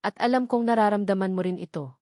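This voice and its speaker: A woman's voice: mid-range voice, quick pace, dark tone, no room sound, clean studio sound, close to an everyday voice. A Filipino woman voicing a devoted, earnest man in love in a romance audio story. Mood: earnest, pleading. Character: devoted, earnest young male architect in love.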